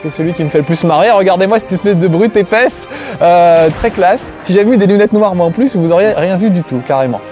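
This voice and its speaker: A man's voice: high voice, quick pace, a little mellow, faint music behind. Joking French-speaking man with a Corsican accent. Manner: joking, self-mocking, chatty. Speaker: video game show host. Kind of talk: casual gameplay commentary to camera. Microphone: close framing, tight on face.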